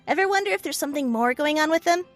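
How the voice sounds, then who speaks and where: A woman voicing a teasing, high-voiced young woman. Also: animated, rapid-fire, faint music behind. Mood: gossipy. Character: playful, mischievous young woman.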